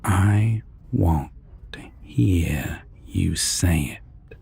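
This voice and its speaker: Possessive vampire villain, low-pitched, gravelly, breathy, taunting, dominant, audio roleplay. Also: male voice, expressive, a little mellow, full character voice. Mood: threatening. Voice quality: breathy, gravelly, half-whispered. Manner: slow, deliberate, taunting, with long pauses.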